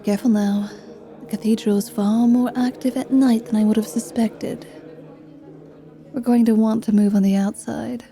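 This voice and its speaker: A woman voicing a flirtatious, mischievous vampire paladin in an ASMR roleplay, with a teasing, drawn-out delivery. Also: a little high, steady tone, mellow, close to an everyday voice. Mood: flirtatious, hushed. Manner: slow, teasing, sultry delivery with drawn-out words.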